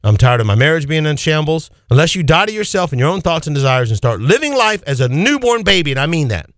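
A man's voice: a little high, sing-song, fast talker, a bit bright, dry recording, studio quality. A man preaching an Easter sermon as pastor, pressing his points with swinging emphasis and repeated phrases.

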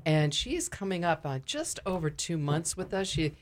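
A woman speaking as a ministry director and guest in a conversational radio interview, explaining things a little haltingly. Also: low-pitched, big pitch swings.

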